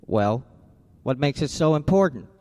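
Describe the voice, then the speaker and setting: A man's voice: medium pitch, expressive, measured pace, darkish tone, long reverb tail, lightly acted. An actor playing a tough, wisecracking male private eye who also narrates a radio drama, in a wry, clipped, hard-boiled way. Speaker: narrator and lead.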